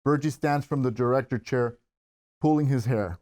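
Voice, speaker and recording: A man's voice: mid-range voice, normal speed, a little mellow. A man narrating screenplay stage directions at a table read.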